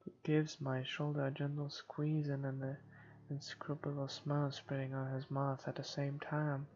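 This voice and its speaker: Creaky-voiced narrator reading a visual novel. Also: medium pitch, completely flat delivery, moderate pace, a little mellow. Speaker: video game playthrough narrator. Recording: muffled lo-fi recording with music behind. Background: game background music.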